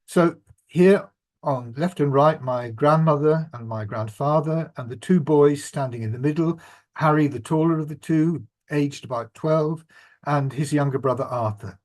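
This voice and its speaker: An older man giving an illustrated family history talk as the presenter and author, speaking in a measured, reflective way with occasional fillers. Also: a little high, darkish tone.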